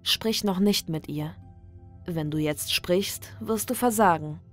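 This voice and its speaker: A woman reading a scripted creepy ritual guide as a horror narrator, in a measured, deliberate, instructional manner. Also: on the low side. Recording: dry studio voice over background music. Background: background music.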